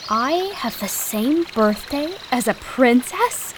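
A sweet, innocent girl in a fairytale audio play. Her cheerful voice is very high and lilting, with faint trickling water behind it. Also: animated, slow pace, noisy background. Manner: sweet, giggly. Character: innocent, cheerful young girl, secretly a princess. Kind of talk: fairytale audio drama acting.

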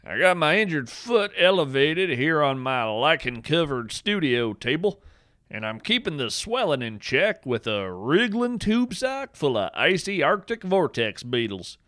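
Male narrator, folksy and playful, deadpan absurd humor, bright voice, animated delivery, dry studio sound. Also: a little high, measured pace, dry recording. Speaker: podcast narrator. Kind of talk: scripted whimsical nature narration.

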